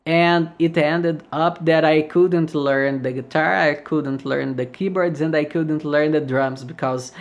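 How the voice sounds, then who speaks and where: Slow, halting Brazilian man. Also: fairly high pitch, steady tone. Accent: Brazilian Portuguese. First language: Portuguese. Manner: halting, searching for words with many restarts. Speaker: guest guitarist. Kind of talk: casual podcast interview.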